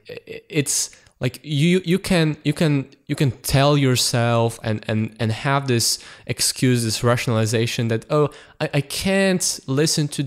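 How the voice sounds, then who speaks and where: A man hosting a podcast in casual chat, often repeating words and filling pauses with "like" and "uh". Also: medium pitch. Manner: stammering repeats, heavy use of "like" and "uh". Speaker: podcast host.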